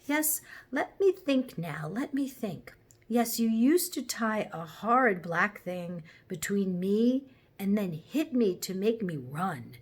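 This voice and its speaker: A woman playing a slow, thoughtful, slightly muddled talking horse in a storybook reading. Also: mid-range voice, animated. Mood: pondering. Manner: slow, pondering.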